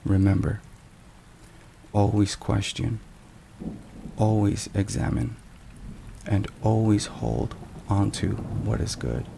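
Slow, low, hushed man over rain. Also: creaky. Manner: hushed, measured, solemn delivery. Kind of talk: soft ASMR devotional scripted narration. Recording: rain and thunderstorm noise behind. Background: steady rain and thunder.

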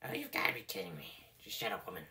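A cranky cartoon hawk boss, grumbling and dramatic in a comedy game dub. The voice is piercingly bright and creaky, leaping wildly in pitch. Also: male voice, huge pitch swings, moderate pace, heavily acted. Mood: exasperated. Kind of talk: improvised comedic game fandub.

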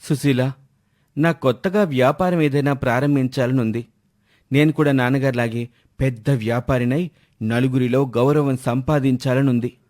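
A man acts out a young son full of ambition in a storybook tale. His mid-pitched voice is earnest and eager, with a wheedling, pleading manner. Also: fairly even intonation, moderate pace, darkish tone, natural voice. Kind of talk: animated moral story dialogue.

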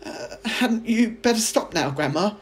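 A man voicing an excitable young boy in a children's storybook, exclaiming urgently. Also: sits high, unhurried, voice pushed up. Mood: alarmed. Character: excitable, alarmed young boy, amazed at his grandma.

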